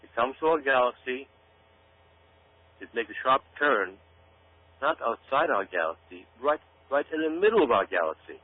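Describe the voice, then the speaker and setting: A man's voice: mid-range voice, very animated, normal speed, long pauses. A man delivering an emphatic, dramatic commentary to listeners, repeating himself for effect. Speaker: guest commentator. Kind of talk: commentary monologue addressed to listeners. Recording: tinny phone-like radio sound with hum.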